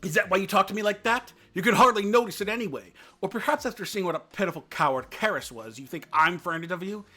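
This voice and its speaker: A man's voice: on the high side, big pitch swings, normal speed, few pauses, a bit bright. A man doing a sneering older stag. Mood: sneering, contemptuous. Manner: genteel, contemptuous. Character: older male deer, limping, sneering and arrogant.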